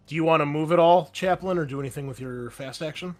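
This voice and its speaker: A man's voice: on the high side, fairly quick, a little mellow, soft background music. A man running a tabletop roleplaying game as game master, explaining rules and narrating with frequent fillers and restarts.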